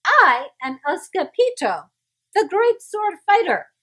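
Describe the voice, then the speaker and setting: A woman's voice: very high voice, sing-song, slow, sharp tone, voice pushed up, heavily acted. A woman voicing a boastful, dramatic little boy kitten from a storybook, with a put-on Spanish accent. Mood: bold.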